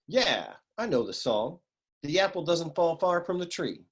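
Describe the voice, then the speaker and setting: Husky wisecracking noir detective, acted by a man. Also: on the high side, measured pace, full character voice. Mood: deadpan, sardonic. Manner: deadpan, wisecracking. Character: hard-boiled wisecracking private detective in a comic noir serial. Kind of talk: parody noir radio drama acting.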